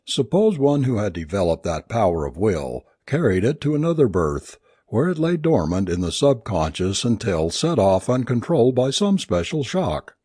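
A man's voice: on the low side, very animated, normal speed, hardly any pauses, dark tone, breathy edge, no room sound, studio quality. A man voicing a learned, speculative male doctor in a story, lecturing in a formal, rhetorical way. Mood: expounding.

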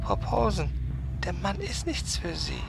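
High-pitched, creaky, taunting killer. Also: male voice, big pitch swings, unhurried, heavy background noise, full character voice. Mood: smug, menacing. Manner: smug, mock-polite, gloating, theatrical. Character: taunting, theatrical male serial killer on the phone. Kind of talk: German crime audio drama acting.

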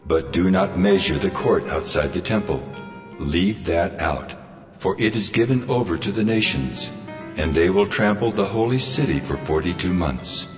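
A deep-voiced, stern male heavenly being in a scripture drama. He gives solemn, measured orders with long reverb and soft music underneath. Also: animated. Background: faint music.

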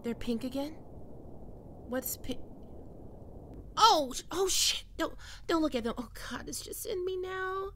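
A woman's voice: high-pitched, lively intonation, unhurried, long pauses, bright, forceful tone, a little hiss or hum, exaggerated voice. A woman playing a defensive young half-vampire catgirl roommate in an ASMR roleplay, teasing and stammering with hesitant tsundere bluster. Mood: flustered, embarrassed.

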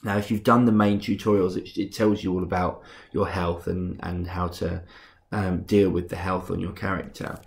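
A young man with a cold, sounding husky and rough and a bit bunged up, calmly talking through a game-making tutorial step by step with frequent ums. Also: low voice, normal speed. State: congested from a cold. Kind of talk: screen-recorded software tutorial.

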